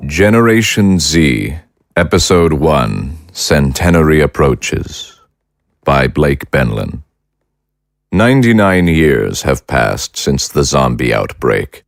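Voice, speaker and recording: A man's voice: very deep voice, sing-song, takes it slowly, dry recording, clean studio sound. A man narrating the episode opening in a formal, announcing manner. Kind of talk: scripted narration.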